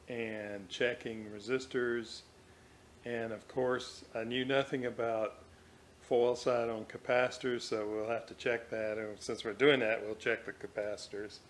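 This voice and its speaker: A man narrating an unscripted workbench vlog as a hobbyist restorer, speaking haltingly in short, hesitant phrases. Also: mid-range voice, fairly bright tone. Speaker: hobbyist radio restorer presenting own video. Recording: clearly audible background noise.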